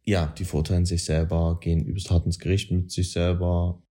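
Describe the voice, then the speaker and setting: A man's voice: low-pitched, flat delivery, fairly quick, warm tone. A man with a Saxon German accent chatting casually on a podcast, rambling, correcting himself and using blunt slang. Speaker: podcast co-host. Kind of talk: casual chat.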